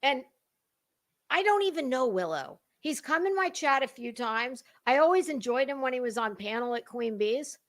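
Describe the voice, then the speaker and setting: A woman talking as an online commentator in a casual, dramatic monologue, emphatic and halting, with deliberate pauses and repeated words. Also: sits high, expressive.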